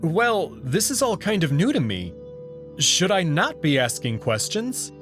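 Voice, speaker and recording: A man's voice: a little high, big pitch swings, unhurried, fairly bright tone, soft background music. A man playing an anxious, indignant human abductee who questions his captor in a visual novel. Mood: bewildered, questioning.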